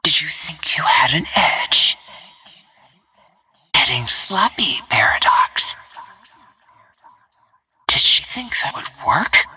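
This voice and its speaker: An actress playing a cold, stealthy female assassin in a video game, speaking in terse, cool, menacing lines with a husky, breathy voice. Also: low voice, very animated, slow, pauses often, sharp tone, exaggerated voice. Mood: quiet menace. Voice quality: husky, breathy, near-whisper.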